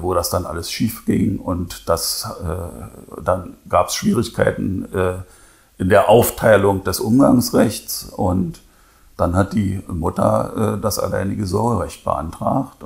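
An older man, a lawyer, answering in an interview with halting, hesitant phrasing. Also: sits low, gravelly. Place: indoor office. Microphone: lapel microphone.

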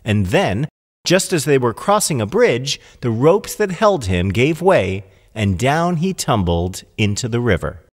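Animated male storyteller in long reverb. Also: mid-range voice, a little slow. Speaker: narrator. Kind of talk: scripted storybook narration.

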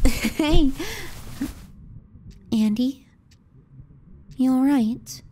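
A woman plays a teasing, clingy younger sister in an intimate ASMR roleplay. Her voice is dark and soft, close on the mic, with breathy dips toward a whisper. She takes her time, delivering sardonic jabs with a possessive edge. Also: mid-range voice. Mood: concerned, insistent.

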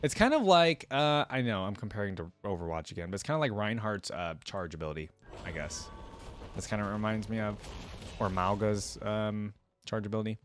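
A man's voice: on the low side, animated, brisk, faint music behind. A young man giving casual, informal reaction commentary, thinking aloud and reading bits out at a close desk microphone. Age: young adult. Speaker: reaction video host. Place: indoor gaming setup. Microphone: desk microphone up close, headset on.

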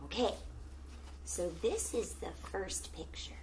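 Slow-paced woman reading a picture book aloud. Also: medium pitch, slight background noise. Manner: expressive, drawn-out storytelling with gentle questions to children. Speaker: teacher reading aloud to children. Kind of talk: children's picture book read-aloud with asides to young listeners. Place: small indoor room at home with plants.

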